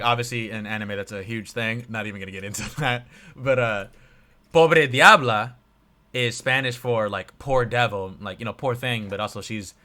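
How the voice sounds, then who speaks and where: Bright-voiced young man reviewing music. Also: mid-range voice. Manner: rambling, many fillers, trails off quietly. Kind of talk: casual review chat on a livestream.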